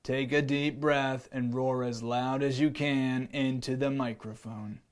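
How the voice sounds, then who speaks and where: A man voicing a stern male lion who teaches lessons, speaking low, slow and unimpressed. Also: fairly even intonation, lightly acted.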